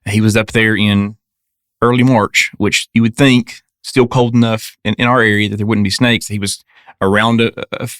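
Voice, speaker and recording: A man's voice: on the low side, fairly even intonation, moderate pace, a bit bright, dry recording, studio quality. A man, a podcast guest, telling a story casually with frequent restarts and stammers.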